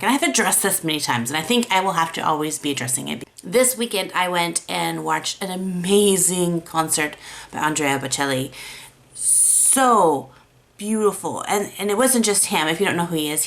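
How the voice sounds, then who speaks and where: A woman with a raspy voice gives a chatty, encouraging watercolor lesson. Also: low-pitched, fairly bright tone. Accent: American. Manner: encouraging, chatty, self-deprecating. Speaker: watercolor art teacher. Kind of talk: casual tutorial talk.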